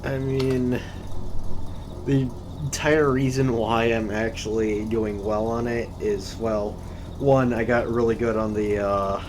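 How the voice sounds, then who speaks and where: A teenage boy co-hosting a casual chat, hesitant with dry deadpan quips. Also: medium pitch, slow pace. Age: teens. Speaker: co-host. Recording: noisy background.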